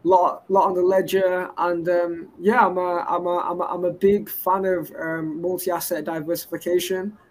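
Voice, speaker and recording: Young guy bragging about his ventures, rambly, kinda high voice, light background noise. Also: little pitch movement, moderate pace, hardly any pauses. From England. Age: 20s. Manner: boastful and rambling, stacks up credentials. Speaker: guest entrepreneur. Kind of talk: casual interview talk.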